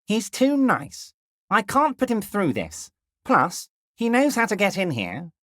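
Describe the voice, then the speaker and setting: A scheming heavyset union boss with oily, honeyed charm, his pitch rolling up and down in lively swings. He talks with smug self-satisfaction and an edge of menace. The recording is close and dry. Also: measured pace, no echo, heavily acted. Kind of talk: video game voice acting.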